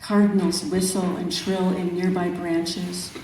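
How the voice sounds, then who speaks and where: A woman poet reading her own work at a live event, in measured, deliberate phrases with pauses. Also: on the low side, flat delivery, dark tone, a little hiss or hum. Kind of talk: poetry reading at a live event, with brief spoken introductions. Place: echoey room at an arts event. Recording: very echoey room, distant mic, music.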